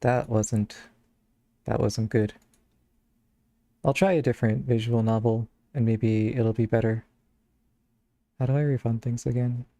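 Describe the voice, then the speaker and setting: A man's voice: mid-range voice, steady tone, unhurried, long pauses, warm tone, no room sound. A man streaming live, chatting casually and thinking aloud in a rambling way into a close mic. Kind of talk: casual live-stream chat while browsing games.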